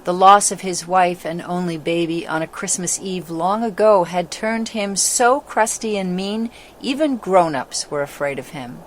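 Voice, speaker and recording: Low-pitched female storybook narrator. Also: a little slow, hardly any pauses, slight background noise. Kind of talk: scripted dramatic story narration.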